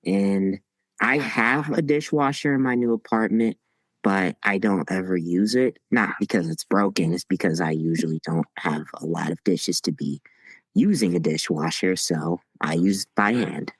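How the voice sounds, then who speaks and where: A man's voice: sits low, normal speed, slightly rough, no room sound. A man from Ohio, a podcast guest, speaking matter-of-factly on a video call. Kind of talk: casual chat.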